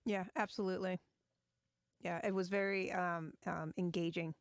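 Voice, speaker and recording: A woman's voice: fairly low pitch, steady tone, moderate pace. A woman co-hosting a podcast, giving short, casual reactions in conversation. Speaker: podcast co-host. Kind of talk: casual chat, brief reactions.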